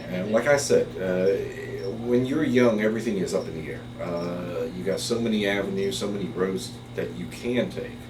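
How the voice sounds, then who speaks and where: Deep-voiced man from Taiwan, hesitant. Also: distant mic. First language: Chinese. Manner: hesitant, many fillers, unhurried. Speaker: biology professor. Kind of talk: interview storytelling. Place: office. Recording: roomy, off-mic, with background hiss.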